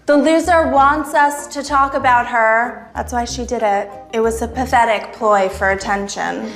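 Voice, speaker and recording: Snide teen school bully. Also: female voice, fairly high pitch, fairly even intonation, measured pace, fairly bright tone. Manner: snide, cutting. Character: mean teen girl, queen-bee school bully. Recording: TV clip with music under it, roomy.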